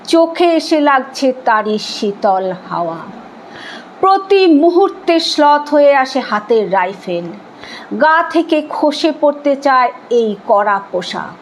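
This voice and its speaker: High-pitched woman reciting poetry slowly. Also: a little hiss or hum, poor audio. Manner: measured, deliberate phrasing with dramatic pauses. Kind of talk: expressive dramatic Bengali poetry recitation.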